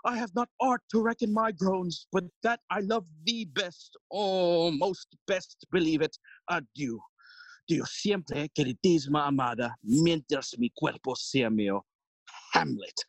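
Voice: medium pitch, a little slow, dry recording. An actor playing a fussy, long-winded old male counsellor in an audio play, theatrical and forever interrupting their own speech. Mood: declaiming, fussy. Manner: rambling, theatrical, self-interrupting. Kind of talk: Shakespearean stage acting in audio play.

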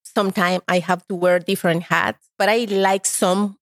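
A woman's voice: medium pitch, steady tone, moderate pace, no room sound, clean studio sound. A woman from El Salvador with a Salvadoran Spanish accent, answering conversationally as a podcast guest. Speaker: podcast guest, community organizer.

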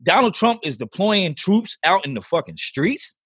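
Brief: male podcast host, higher pitch, husky tone, animated and profane, dry mic. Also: moderate pace, dry recording. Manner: animated, profane, street slang. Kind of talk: casual podcast chat.

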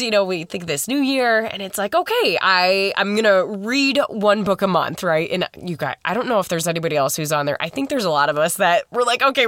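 A woman in her thirties hosting a podcast in casual chat, upbeat and chatty, a little hoarse as she gets over a head cold, recorded in a small closet at home. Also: medium pitch, fairly bright tone, no room sound, studio quality. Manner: chatty and upbeat, many fillers. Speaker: podcast host.

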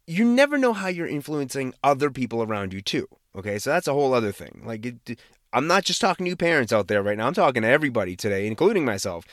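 A male podcast host with an American accent gives a casual devotional talk in a very lively, swooping voice. He is conversational and emphatic, repeating words to drive points home. The recording is close and dry. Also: mid-range voice, dry recording. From Boston area, United States.

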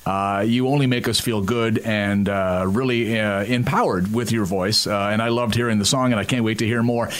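A man's voice: lowish voice, fairly even intonation, moderate pace, few pauses. A man from French Canada hosting an interview show, asking long, rambling questions with many fillers. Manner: rambling, filler-heavy phrasing.

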